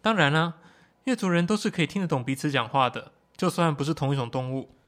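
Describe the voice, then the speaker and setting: Guy doing a playful teasing young dude, slightly high voice. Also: fast, fairly bright tone, lightly acted. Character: easygoing teasing young man.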